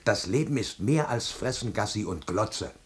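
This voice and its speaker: A man's voice: lowish voice, expressive, normal speed, pitched above the performer's own voice, natural voice. A man acting an exasperated, bossy dog owner who scolds and commands his pet in a radio play. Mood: scolding lecture.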